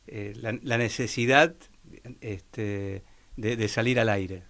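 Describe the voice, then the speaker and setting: A man's voice: fairly low pitch, slow, a bit bright. A man co-hosting a casual, reflective radio chat, hesitant and often seeking agreement. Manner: hesitant, keeps checking with tag questions. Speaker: radio show co-host.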